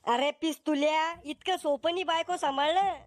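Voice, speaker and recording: An exasperated middle-aged cartoon father, played by a man, scolding and sarcastic. His voice sits unusually high and sounds dry and close. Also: fairly even intonation, moderate pace, no room sound. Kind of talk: Marathi comedy cartoon acting.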